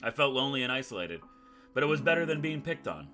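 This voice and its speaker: A man's voice: mid-range voice, very animated, fast. Wry male gamer over guitar music. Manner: wry, sarcastic, self-mocking joker. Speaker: gaming YouTuber, host of a playthrough. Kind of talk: let's play commentary with read-aloud game text and joking asides. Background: acoustic guitar game music.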